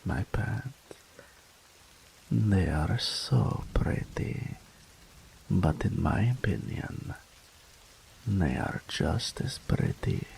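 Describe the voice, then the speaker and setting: A man voicing a dominant, possessive male incubus demon in an audio roleplay, talking in a slow, purring way that often drops to a whisper. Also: low-pitched, gravelly, warm tone, slight background noise, heavily acted, rough recording.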